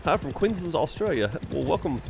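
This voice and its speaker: A man's voice: on the high side, very animated, fairly quick, gravelly, noisy background. A man from Mississippi with a Mississippi accent, chatting casually and teasingly with live viewers as a streamer, laughing often. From Mississippi, United States.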